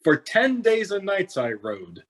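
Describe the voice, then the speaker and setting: A man's voice: high voice, wildly swooping pitch, measured pace, fairly bright tone, full character voice. A man playing a boastful, impatient human warrior in a fantasy table read, theatrical and indignant.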